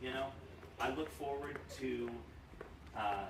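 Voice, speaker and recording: A man's voice: medium pitch, slow. Young man rambling a wedding toast. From Romania. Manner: rambling, joking, full of fillers and laughs. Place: wedding reception room. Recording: echoey room, far from mic, noisy.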